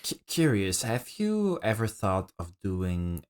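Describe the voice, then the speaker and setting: A man with a low voice hosts a relaxed podcast conversation. He is polite and hesitant, feeling his way through each sentence in stops and starts. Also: takes it slowly, clean tone. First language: Dutch. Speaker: podcast host. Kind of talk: casual podcast interview chat.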